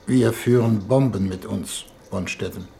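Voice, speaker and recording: A man's voice: on the low side, unhurried, vocal fry, warm tone. An actor in a 1950s German radio play, playing an uneasy, self-justifying government envoy, earnest and formal as he pleads with an old friend. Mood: subdued, uneasy. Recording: faintly noisy 1950s radio recording.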